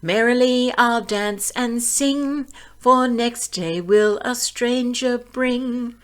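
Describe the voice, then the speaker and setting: A woman's voice: fairly high pitch, slow pace, a bit bright, smooth, pitched above the performer's own voice. A woman voicing a wily little dwarf man from a fairy tale, with an emphatic, sing-song delivery that draws out words. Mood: gleeful singing. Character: wily little dwarf man, bargaining, singing, quick to rage.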